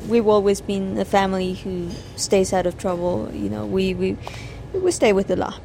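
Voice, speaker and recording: A woman's voice: medium pitch, moderate pace, vocal fry, warm tone, slight background noise. A woman in her twenties from El Salvador, a university student answering interview questions in an earnest way, sometimes repeating herself. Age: 20s. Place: coffee shop.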